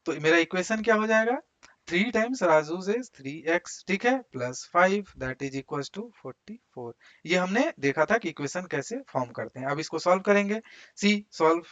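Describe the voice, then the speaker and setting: High-pitched Indian male maths teacher, dull recording. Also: lively intonation, normal speed, fairly bright tone. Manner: explains step by step with frequent rhetorical questions and check words. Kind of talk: school maths lecture in Hindi mixed with English.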